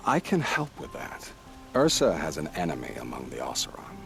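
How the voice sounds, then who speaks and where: A calculating royal advisor in a fantasy game. His voice is extremely deep yet swings in pitch, formal and composed. Music plays clearly behind him. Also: wildly swooping pitch, normal speed, full character voice. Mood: cool, calculating. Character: shrewd, composed royal spymaster advising the throne. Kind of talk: video game cutscene acting.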